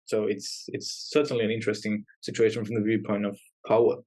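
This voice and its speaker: A young adult man with a somewhat low voice talks as a guest academic. He explains ideas in a reflective way and laughs lightly now and then. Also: little pitch movement, a little fast, darkish tone, poor audio. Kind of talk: academic interview conversation.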